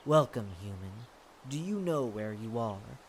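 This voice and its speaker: A performer voicing a commanding, gracious fae monarch in a formal, measured radio-play manner. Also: lively intonation, slight background noise. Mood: grave, courteous. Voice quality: deep.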